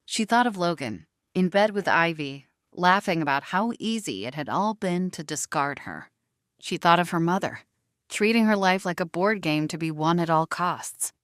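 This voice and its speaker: A woman reading scripted dramatic fiction as narrator, in a measured storytelling manner. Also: on the low side, animated, a bit bright, clear, resonant tone, dry recording, clean studio sound.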